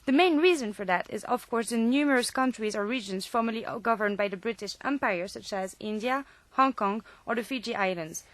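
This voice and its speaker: A woman's voice: a little high, fairly quick, hardly any pauses, a bit bright, no room sound. A woman with French as her first language, presenting a student radio programme from a reading script.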